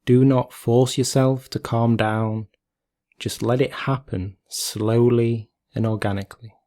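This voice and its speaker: A man leading a guided meditation in a calm, gentle, measured way, leaving long pauses between phrases. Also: medium pitch.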